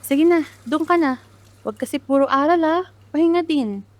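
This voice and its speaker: A woman's voice: sits high, moderate pace, a little mellow, natural voice. A woman with a Filipino accent playing a worried, nagging mother in an amateur radio drama. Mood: fussing concern. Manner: scolding, exclamatory. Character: worried, nagging, quick-to-scold mother of grown children.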